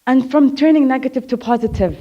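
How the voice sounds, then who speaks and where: A young woman with a somewhat high voice talks earnestly about her life, often stuttering and repeating the starts of words. She speaks openly and candidly as she tells her story from a stage. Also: fast, highs cut off, a little hiss or hum. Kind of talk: personal talk on stage. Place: on a stage before an audience.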